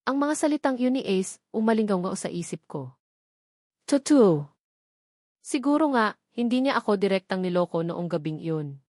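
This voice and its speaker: A woman reads a romantic story in the first person, with a dry, close sound. Her pitch sits in the middle for a woman. She tells it dramatically, in short, measured phrases, as a scripted narrator. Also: lively intonation, darkish tone, dry recording.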